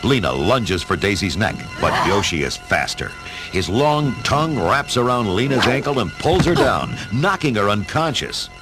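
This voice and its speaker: A male narrator tells a scripted adventure story in a fairly low voice. His delivery is dramatic and very lively in intonation, with music clearly playing behind him. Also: moderate pace. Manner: expressive storytelling.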